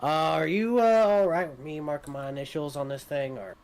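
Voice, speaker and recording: A man playing a modest, friendly young dwarf craftsman in a tabletop game, informal and halting. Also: fairly high pitch, darkish tone, natural voice. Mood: obliging. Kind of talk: tabletop role-play.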